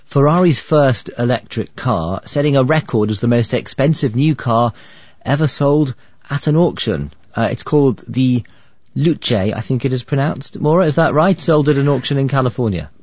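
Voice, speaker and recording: A man's voice: mid-range voice, normal speed, darkish tone, dry recording. A man presenting live news in a conversational style. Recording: dull lo-fi audio.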